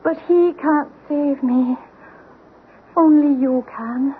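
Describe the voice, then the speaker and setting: A woman's voice: high voice, slow pace. An actress playing the restless, pleading ghost of a dead mother in an old radio horror drama, plaintive and ethereal. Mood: mournful, pleading. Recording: noisy, muffled vintage radio recording.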